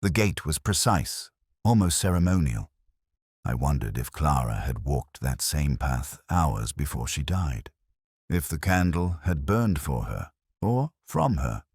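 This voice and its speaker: A man giving a measured, brooding first-person narration of a detective story. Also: very deep voice, very animated, darkish tone, husky, no room sound, studio quality. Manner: measured, brooding, literary delivery.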